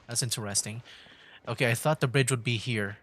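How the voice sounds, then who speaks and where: A man's voice: medium pitch, a little fast, fairly bright tone, slight background noise. A man hosting a game livestream with casual commentary, musing aloud in a rambling way. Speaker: livestream gamer host.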